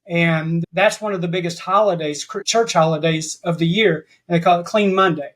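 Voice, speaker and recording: A man with a fairly high voice chats as a guest on a podcast. He rambles and restarts his sentences as his thoughts catch up. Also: fairly even intonation, moderate pace, a bit bright. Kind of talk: casual interview chat.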